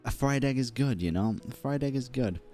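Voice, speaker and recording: Animated young British man streaming. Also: mid-range voice, a little fast, soft background music. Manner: sweary, blunt, amused. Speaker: game streamer. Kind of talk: casual live reaction commentary.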